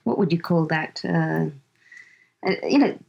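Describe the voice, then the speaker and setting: A woman's voice: low voice, measured pace, vocal fry, breathy edge, muffled recording. A woman in her fifties, born in Uganda, chatting as a podcast guest and searching for words as she tells her story. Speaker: guest, host's mother. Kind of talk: casual conversation.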